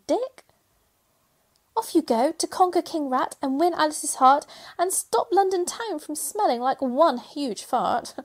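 A woman playing a magical fairy spirit who narrates a pantomime radio play in theatrical rhyme. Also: high voice. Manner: theatrical rhyming delivery.

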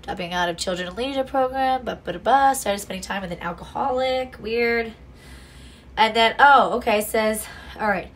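A woman's voice: fairly high pitch, normal speed, fairly bright tone, slight background noise. Blunt, profane young woman commentator. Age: young adult. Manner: blunt and profane, sarcastic asides, frequent restarts. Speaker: true crime commentator. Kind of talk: casual true crime commentary, reading quotes aloud. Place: small home room indoors.

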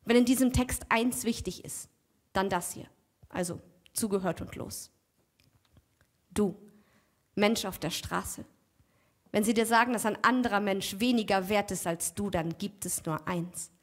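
A young woman performing spoken-word poetry at a stand mic on a theater stage, rhythmic, dropping to a near-whisper on quiet lines. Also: mid-range voice, unhurried, pauses often. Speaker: poet.

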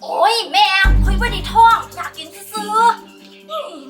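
Woman as a whiny Isan daughter, very high voice, distant, music behind. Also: a little slow. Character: sulky young village daughter, evasive.

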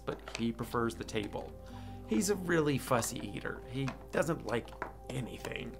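A man reading aloud as a gentle young male dog who tells about his boy, in a soft, calm storybook voice. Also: mid-range voice. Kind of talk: children's book read-aloud.

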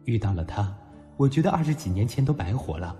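A man playing a blunt, teasing young university student who is quick to flare up, in an audio drama that he also narrates. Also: fairly low pitch, very animated, dark tone. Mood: reflective narration. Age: young adult. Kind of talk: Mandarin audio drama acting and first-person narration. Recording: background music under the voice.

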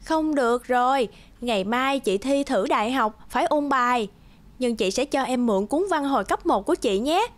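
A woman playing a caring but firm teenage big sister in a cartoon, with a youthful, expressive delivery. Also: sits high, normal speed. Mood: helpful. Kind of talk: cartoon dialogue.

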